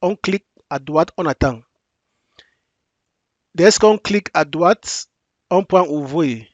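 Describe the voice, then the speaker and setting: Man teaching software in halting French. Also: fairly high pitch, no room sound, muffled recording. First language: English. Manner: step-by-step, repetitive instructions; halting non-native French with frequent restarts. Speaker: software tutorial presenter. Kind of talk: screen-recorded software tutorial.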